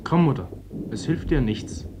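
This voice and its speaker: A man's voice: mid-range voice, lively intonation, moderate pace, lightly acted. A male actor playing a defiant, hot-headed teenage village boy in a classic radio play, insistent and impatient. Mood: defiant, urgent. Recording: faintly noisy old radio play recording.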